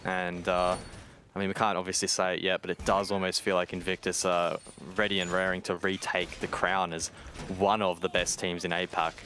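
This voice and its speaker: A man with a low-pitched voice commentates live on a competitive shooter. He calls the play conversationally as an esports caster. Game noise, gunshots and clicks are clearly audible behind him. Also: level tone, normal speed, bright, forceful tone.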